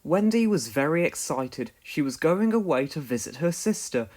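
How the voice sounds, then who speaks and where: Animated children's storybook narrator. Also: mid-range voice, normal speed. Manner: expressive, clearly paced storytelling. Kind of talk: scripted children's read-along narration.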